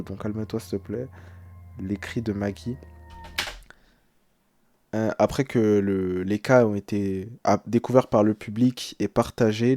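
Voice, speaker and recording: A man's voice: lowish voice, warm tone. A young man speaking as a streamer in casual live reaction, translating text aloud with slangy, hesitant, uneasy commentary.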